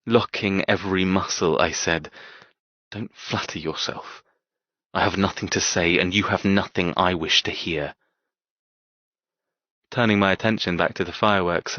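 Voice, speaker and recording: A man narrating a dark romance audiobook in the first person as a cold, brooding, tormented man, terse and intense. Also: low voice, no room sound, dull audio, natural voice. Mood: cold, guarded.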